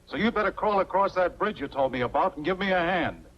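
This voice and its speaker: A man's voice: sits high, animated, fast talker. A male actor playing a bitter, desperate accomplice with a curt, accusing manner in a 1940s film noir. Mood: urgent, resentful. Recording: dull, band-limited 1940s film soundtrack.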